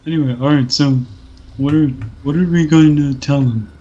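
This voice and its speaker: A man's voice: fairly high pitch, steady tone, moderate pace, mellow. Muffled man bantering over a tabletop game. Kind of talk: casual banter during a game. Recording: muffled, noisy home recording.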